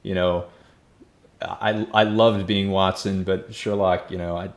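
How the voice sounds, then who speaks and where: Low-voiced chatty male host. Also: flat delivery, a little slow. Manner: chatty, restarts often, laughs easily. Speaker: podcast host. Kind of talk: casual conversation over a call.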